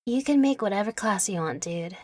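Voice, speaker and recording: A woman's voice: medium pitch, expressive, brisk, a little mellow, no room sound, clean studio sound, natural voice. A woman voicing a laid-back, mischievous young fairy who talks in casual slang, in an ASMR audio roleplay. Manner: slangy, nonchalant.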